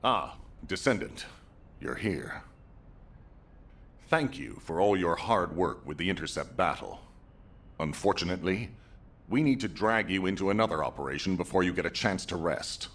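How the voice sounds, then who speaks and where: A man playing a grave, weary but resolute military commander in a video game, with a husky, gravelly voice and a stern, formal delivery. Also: deep voice, lively intonation, moderate pace, fairly bright tone, exaggerated voice. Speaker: commander giving mission briefings. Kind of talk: dramatic video game briefing.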